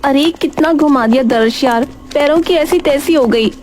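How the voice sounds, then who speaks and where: A woman's voice: sits high, normal speed, noisy background, close to an everyday voice. A woman voicing a weary, sarcastic elder sister who grumbles in a colloquial way in a cartoon story. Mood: exasperated. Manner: sardonic, complaining, colloquial.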